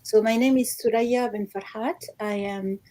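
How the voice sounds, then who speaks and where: Mellow-voiced woman language teacher. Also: a little high, steady tone. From Algerian American, born in Morocco. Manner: measured, explanatory, many fillers. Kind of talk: interview answers.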